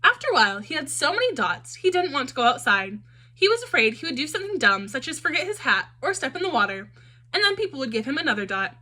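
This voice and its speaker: Animated woman reading a children's story. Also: sits high, fast. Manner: expressive, animated.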